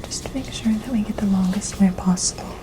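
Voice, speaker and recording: A woman delivers an ASMR beauty role-play in a soft, breathy whisper. She is gentle and reassuring, talking the listener through each step like an attentive makeup artist. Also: mid-range voice, a little fast.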